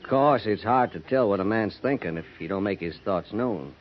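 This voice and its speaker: Weathered American trooper, a male actor's role, hesitant, rambling, quietly troubled, muffled vintage radio drama recording. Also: on the low side, expressive, moderate pace, close to an everyday voice. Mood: musing. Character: older veteran cavalryman, folksy, brooding, quietly troubled. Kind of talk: 1950s American radio western acting. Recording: dull, noisy 1950s radio transcription.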